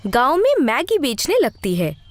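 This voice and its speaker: Lively high-voiced woman storyteller. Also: measured pace, a little hiss or hum. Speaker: narrator. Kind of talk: scripted children's story narration.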